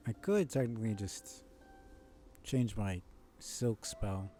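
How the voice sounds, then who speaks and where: A man with a very mellow, dark voice streams a video game. He talks casually and without hurry, musing aloud to himself as he works things out. Faint music plays behind his low voice. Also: slow pace. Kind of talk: casual gameplay commentary, thinking aloud.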